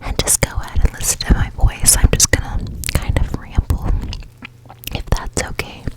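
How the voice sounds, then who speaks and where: Whispering woman, slow ASMR podcast host. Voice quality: breathy whisper. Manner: slow, soothing pacing with repeated words. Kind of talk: whispered ASMR with guided meditation and rambling. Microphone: close sensitive ASMR microphone.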